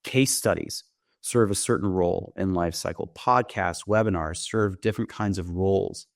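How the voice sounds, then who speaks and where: Low voiced guy, startup founder walking thru his software, chatty pitch, says right? a lot, dry close sound. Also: normal speed, creaky, no echo. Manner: explanatory, often tags points with "right?". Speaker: company founder demonstrating software, interview guest. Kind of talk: conversational sales pitch explanation.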